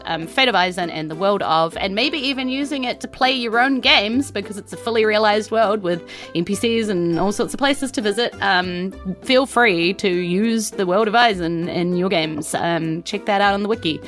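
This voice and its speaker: A woman's voice: mid-range voice, a bit bright. A woman with a New Zealand accent hosting a tabletop game podcast in an informal, rambling, self-deprecating way. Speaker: game master and podcast host. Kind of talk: casual live-streamed game narration and chatty sign-off. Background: faint music.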